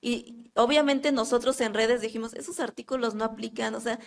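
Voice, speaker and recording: A woman's voice: fairly high pitch, steady tone, moderate pace, creaky. A woman with a Mexican accent asking a long question from the floor at a public talk, earnest and hesitant, with many fillers. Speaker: audience member asking a question.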